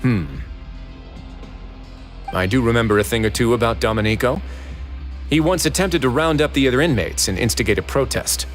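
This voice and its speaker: A man's voice: medium pitch, normal speed, long pauses, soft background music, natural voice. A man voicing a composed, dry and businesslike prison warden of noble rank in a video game.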